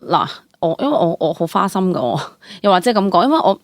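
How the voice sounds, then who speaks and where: A woman's voice: lowish voice, fast talker, dry recording, clean studio sound. A woman in her late twenties with a Hong Kong Cantonese accent, chatting casually as an interview guest, exclaiming as she tells things and mixing in English words. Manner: animated storytelling with exclamations, English words mixed in. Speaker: guest, stage actress. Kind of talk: casual personal interview chat.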